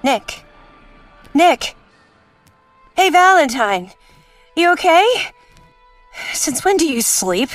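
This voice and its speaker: A woman's voice: high voice, big pitch swings, slow pace, pauses often, dry recording, faint music behind, full character voice. A woman playing a familiar, matter-of-fact secretary at a detective agency in a noir radio drama. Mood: concerned.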